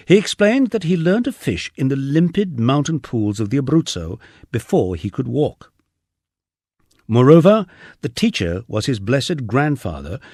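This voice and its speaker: A man's voice: medium pitch, darkish tone, dry recording, clean studio sound. A man narrating a humorous memoir as a scripted audiobook reading.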